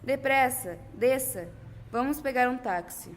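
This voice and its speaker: Girl acting as brisk bold young woman on the run, amateur radio play, highish voice, bit of noise. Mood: excited, urgent. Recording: noisy amateur school recording.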